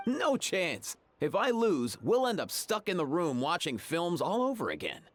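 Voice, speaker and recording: Teasing, highly animated young woman. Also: moderate pace, slight background noise. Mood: exasperated. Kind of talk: video game character acting.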